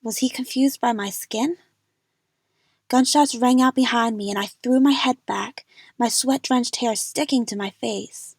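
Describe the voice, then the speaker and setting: A woman narrates a dramatic first-person story for an amateur audio drama. Her voice is fairly high with a husky, breathy edge, recorded close and dry. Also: a little slow, no room sound.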